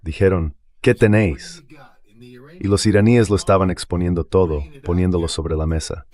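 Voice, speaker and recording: A man with a deep voice delivers translated political commentary as an interview guest. He explains his points bluntly and with emphasis. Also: fairly even intonation, moderate pace, darkish tone.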